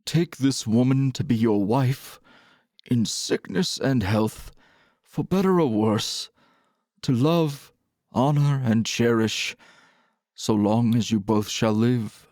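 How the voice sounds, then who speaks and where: A man's voice: mid-range voice, slow, darkish tone, no echo, studio quality, natural voice. A man playing a stuffy, old-fashioned, easily flustered bishop in an audio drama, speaking in a formal, fussy, ceremonious way. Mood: solemn, ceremonious.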